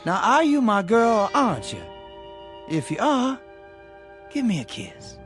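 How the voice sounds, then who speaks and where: A man's voice: high voice, wildly swooping pitch, measured pace, a bit bright, faint music behind, full character voice. A man playing a folksy, easily wounded young suitor with a rural American accent in a radio drama. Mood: hurt, insistent. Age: young adult.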